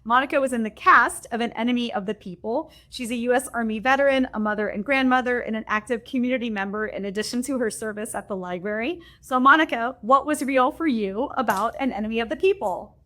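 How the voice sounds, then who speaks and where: Female host, slightly high voice, formal prepared read. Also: quick pace, hardly any pauses, pushed and bright. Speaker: library development director hosting. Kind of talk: reading prepared remarks.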